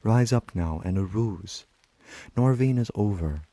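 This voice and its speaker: A man's voice: fairly low pitch, a little slow, dark tone, dry recording. A man reciting a lyrical literary monologue as a weary, tender aging wife and river woman, in an intimate manner. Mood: gently rousing.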